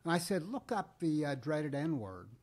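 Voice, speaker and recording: A middle-aged American man tells a story conversationally as a podcast co-host, deliberately and with pauses. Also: a little high, very animated, darkish tone.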